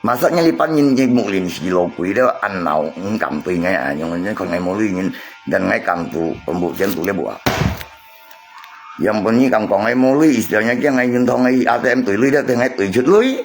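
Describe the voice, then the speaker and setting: A man's voice: fairly low pitch, animated, normal speed, soft background music. A man chatting casually on a livestream, repeating himself and arguing his point to viewers. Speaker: live streamer.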